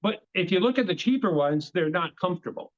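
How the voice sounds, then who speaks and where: A man's voice: sits high, expressive, brisk. Chatty, rambling man on a muffled line. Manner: chatty, rambling, frequent restarts. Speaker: guest, game show creator. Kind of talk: casual interview chat.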